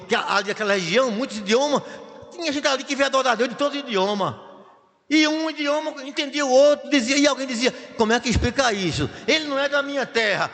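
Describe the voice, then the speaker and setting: A high-pitched man delivers a Pentecostal sermon from a church pulpit. He repeats phrases in rising waves until he is shouting. Long hall reverb trails every line. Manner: impassioned preaching, rising to shouts, with repeated refrains. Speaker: pastor.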